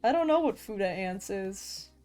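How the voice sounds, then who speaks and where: A woman's voice: medium pitch, expressive, moderate pace, darkish tone, faint music behind. A young woman streaming as she draws, chatting casually with viewers and often stuttering and restarting her sentences. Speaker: VTuber art streamer. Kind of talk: casual live-stream chat while drawing.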